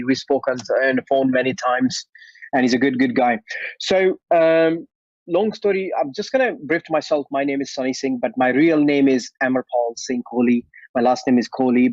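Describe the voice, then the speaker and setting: A man's voice: medium pitch, a little mellow, dry recording. Friendly Indian-accented man, dull sound. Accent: Indian English. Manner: friendly, chuckling, loose grammar. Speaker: guest, martial artist and actor. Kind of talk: casual interview answers. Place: at home after a workout.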